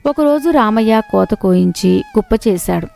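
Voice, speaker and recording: A woman's voice: mid-range voice, expressive, normal speed, gravelly, a little mellow. A woman reading a scripted moral story as its narrator.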